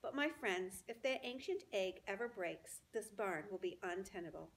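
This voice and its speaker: A woman's voice: mid-range voice, lively intonation, moderate pace, natural voice, rough recording. A woman voicing a wise, gracious female spider who speaks formally and eloquently.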